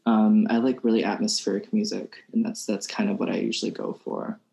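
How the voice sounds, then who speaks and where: A young man from Alabama, a podcast guest musician, talking in a soft-spoken, hesitant way with many ums. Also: fairly low pitch, level tone, a little fast, gravelly. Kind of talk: casual interview chat. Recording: roomy echo.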